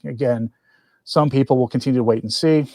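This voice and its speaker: A man's voice: medium pitch, brisk, warm tone, no echo. A man hosting a religious commentary livestream, talking in an earnest, opinionated way with wry asides. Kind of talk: opinionated commentary, partly reading quoted text aloud.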